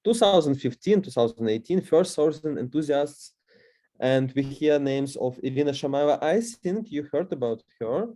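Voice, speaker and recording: Man, Russian accent, webinar lecture, mellow tone, halting with fillers. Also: fairly high pitch, lively intonation. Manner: hesitant, many fillers and restarts. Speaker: course instructor.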